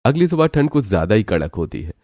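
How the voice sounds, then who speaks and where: A man's voice: fairly low pitch, very animated, fast talker, a little mellow, no echo. A man narrating a scripted cartoon family story.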